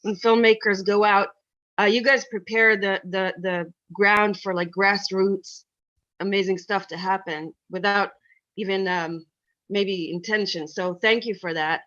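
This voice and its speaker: Woman from Israel, earnest question-asker, reflective and halting, dull lo-fi call sound. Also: medium pitch, fairly even intonation, a bit bright. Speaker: audience member asking a question. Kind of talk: thoughtful spoken question.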